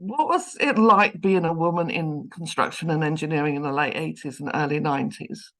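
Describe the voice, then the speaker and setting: A woman's voice: low-pitched, brisk, fairly bright tone, low-quality recording. A woman in her fifties with a London accent, giving an online seminar talk over a video call in a chatty, self-deprecating way. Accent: London English. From London, England. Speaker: guest lecturer, professor.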